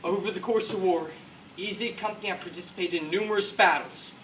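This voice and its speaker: A man performs as an earnest American paratrooper officer declaiming his war memories in a stage drama. The voice is bright and sharp for a soldier. It comes from a distance in an echoey room. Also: high voice, fairly quick, slight background noise. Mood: solemn, resolute. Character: male American WWII paratrooper officer, resolute, recalling battle. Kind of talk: rehearsed dramatic war monologue.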